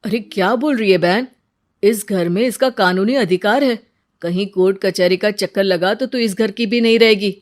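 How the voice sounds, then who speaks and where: A woman's voice: mid-range voice, moderate pace, close to an everyday voice. A woman voicing a cunning, scheming uncle who advises in a conniving way, in a cartoon story.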